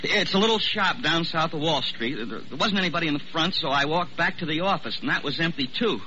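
An actor playing an anxious, remorseful American farm boy of about twenty in a 1940s radio drama, halting and pleading. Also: fairly high pitch, lively intonation, sharp tone. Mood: ashamed. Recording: dull vintage radio, faint noise.

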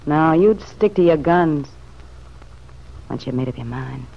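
A woman's voice: deep voice, animated, unhurried, creaky, heavily acted. An actress in an old radio drama playing a world-weary, slangy, street-wise woman who speaks with raw emotion. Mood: earnest. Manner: slangy, emotional, hushed then flaring. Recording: dull, noisy vintage radio recording.

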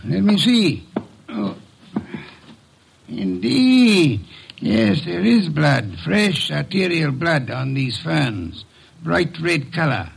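An actor playing a haughty, erudite middle-aged detective boss in an old radio drama, pompous and curt, with sardonic, precise speech. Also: male voice, fairly high pitch, gravelly, breathy edge, full character voice. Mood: grave, deliberate. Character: gruff, haughty, erudite male detective boss, orchid lover.

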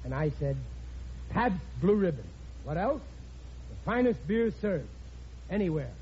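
A high-pitched, blustering American dad of middle age, folksy and loose with his grammar. It has the style of a 1950s radio comedy, on a muffled old recording with faint noise. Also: very animated, measured pace, warm tone. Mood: genial, relieved. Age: middle-aged. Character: blustering working-class American family father, proud, plain-spoken. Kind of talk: 1950s radio sitcom acting.

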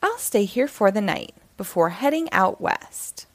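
A woman voicing an easygoing female hedgehog who loves sailing, polite and unhurried, in a children's storybook. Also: medium pitch, big pitch swings, no echo. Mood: relaxed.

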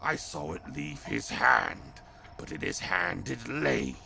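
A man playing an excitable talking dog-boy minion who recites bad poetry pompously in a comic fantasy audio drama. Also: medium pitch, takes it slowly, gravelly, a little hiss or hum, full character voice. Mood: pompous reciting. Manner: pompous verse recital, indignant and eager.

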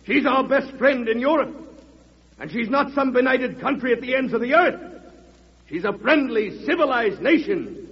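An actor playing an indignant senator, declaiming pompously in a 1940s radio drama. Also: male voice, extremely high pitch, lively intonation, normal speed. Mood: indignant, scornful. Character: indignant, grandstanding senator making a speech. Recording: muffled vintage radio, reverberant hall.

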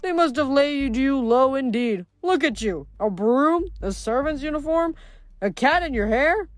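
A woman's voice: sits high, expressive, measured pace, no room sound, studio quality, faint music behind, pitched above the performer's own voice. A woman voicing a jovial but menacing male Titan giant, boastful and theatrical, in a storybook reading. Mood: scornful, grandiose. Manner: theatrical, grandiose, hearty.

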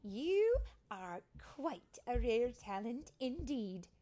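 A woman voicing a gracious, stately female fairy elder in a storybook, measured and slightly formal. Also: fairly high pitch, big pitch swings, mellow, a little hiss or hum. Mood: approving.

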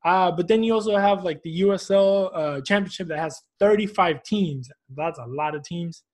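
A man's voice: high-pitched, moderate pace, darkish tone. A man from Houston hosting a casual, informal soccer interview show, chatting in friendly slang. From Houston, United States.

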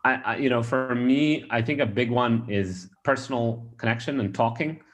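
A man's voice: medium pitch, normal speed. A man from Australia, a startup founder, answering interview questions in a rambling way with many restarts and fillers.

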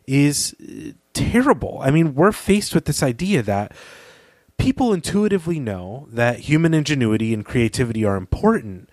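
A man's voice: medium pitch, dry recording. A man co-hosting a podcast, talking through a literary analysis in an earnest, emphatic, conversational way. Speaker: podcast co-host.

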